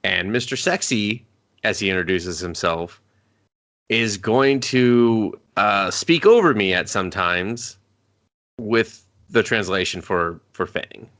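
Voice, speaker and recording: A man's voice: lowish voice, a bit bright. A man co-hosting a movie review podcast, recapping scenes in casual, joking banter and acting out the characters' lines. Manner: joking, acts out scenes in quick put-on voices. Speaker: podcast co-host.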